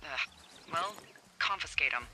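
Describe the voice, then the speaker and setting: An actress playing a sardonic, teasing American boss, talking sarcastically through a radio filter. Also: female voice, deep voice, expressive, a little slow, pushed and bright, a little hiss or hum, exaggerated voice. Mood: exasperated. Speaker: supervisor over radio. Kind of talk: naturalistic game voice acting.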